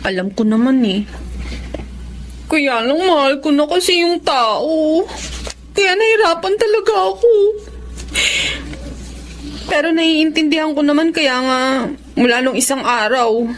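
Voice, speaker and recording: An actress playing a gentle, lovelorn young woman in a radio drama, plaintive and halting. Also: sits high, lively intonation, slight background noise. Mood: heartbroken. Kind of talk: Filipino radio drama acting.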